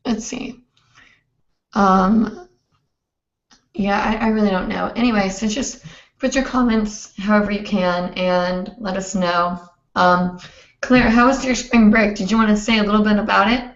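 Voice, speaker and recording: Hoarse young woman hosting a livestream. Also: medium pitch, fairly even intonation, a little slow. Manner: chatty, rambling with many fillers. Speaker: host. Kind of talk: casual livestream chat. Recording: roomy, dull lo-fi stream audio.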